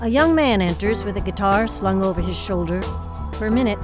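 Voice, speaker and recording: A woman's voice: mid-range voice, animated, a little fast. A woman reading scripted narration as the announcer of an audio play. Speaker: announcer and stage-direction narrator. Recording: music behind the voice.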